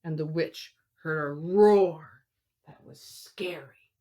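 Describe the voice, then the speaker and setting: A woman in her thirties reading a picture book aloud as a teacher, expressively and with drawn-out key words for children. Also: low-pitched, mellow.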